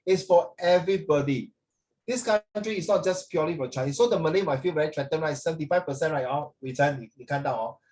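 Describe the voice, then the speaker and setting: A man's voice: on the high side, fast talker, a little mellow, poor audio. A man from Singapore with a Singaporean accent teaching children in an online lesson, often checking with "right" and "okay". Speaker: English teacher. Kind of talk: online lesson to children, explaining over a video. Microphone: video call.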